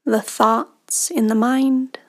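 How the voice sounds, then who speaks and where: A woman leading a guided meditation in a hushed, breathy, soothing delivery with long pauses between phrases. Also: fairly high pitch, fairly even intonation. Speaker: meditation guide, podcast host.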